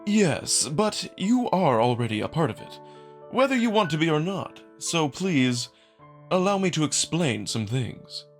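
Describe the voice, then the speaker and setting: A scheming jackal aristocrat, voiced by a man, speaks high and softly with courtly, measured polish. The delivery is lilting and politely amused, in visual novel style, with faint music underneath. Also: sing-song. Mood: smooth, persuasive. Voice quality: high, soft voice. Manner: formal, measured, courtly diction. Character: genteel, scheming male jackal noble, smoothly polite and amused. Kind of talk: visual novel dramatic reading.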